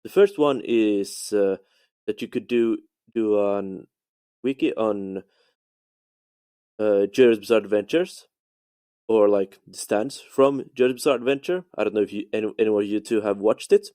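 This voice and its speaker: A man from Finland with a Finnish accent, leaving a halting, polite voice message with many restarts. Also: on the low side, dark tone, smooth. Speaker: voicemail caller. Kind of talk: recorded voice message.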